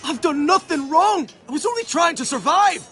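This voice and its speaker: A poor, defiant British soldier in a fantasy game. His voice is very high-pitched and sharp, full of desperate indignation and pleading. Faint music sits behind him. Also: expressive, moderate pace. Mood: defiant, panicked. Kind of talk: dramatic fantasy video game acting.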